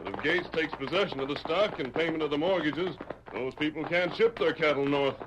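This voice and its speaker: An American actor playing a noble, commanding cowboy hero in an old-time radio western. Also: male voice, on the high side, brisk, close to an everyday voice. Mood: earnest. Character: noble heroic masked cowboy lawman, earnest and commanding. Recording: noisy, clicky vintage radio recording.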